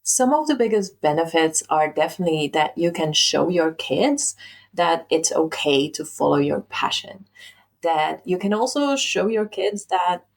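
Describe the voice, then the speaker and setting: A young woman from Belgium co-hosting a podcast, speaking softly in casual chat with frequent ums and likes. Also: on the low side, animated, a little slow, vocal fry. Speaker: podcast co-host.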